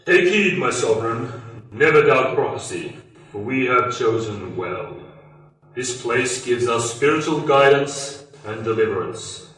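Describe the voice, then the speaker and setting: A man's voice: medium pitch, animated, a little slow, a bit bright. A man playing a solemn cult leader, cavernous echo. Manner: grandiose, formal. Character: solemn male cult leader, reverent about prophecy. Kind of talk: amateur sci-fi radio play. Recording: very echoey, distant, faint music.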